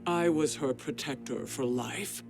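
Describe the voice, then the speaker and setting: A haughty warrior of royal rank from a video game. The speech is terse, formal and very slow, proud and guarded, at a middling pitch. Music is clearly heard behind. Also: male voice. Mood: solemn. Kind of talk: video game cutscene acting.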